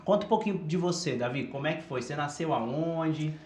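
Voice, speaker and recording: A man's voice: fairly high pitch, fairly quick, darkish tone. A man casually interviewing guests as a podcast host, asking conversational questions. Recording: echoey room, faint background noise.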